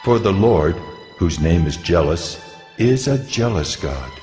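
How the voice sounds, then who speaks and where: An actor playing a solemn, commanding God in dramatized scripture audio, with a stern, authoritative, declamatory delivery. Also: male voice, deep voice, animated, a little slow, darkish tone. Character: God of Israel, solemn commanding male deity giving law. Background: music.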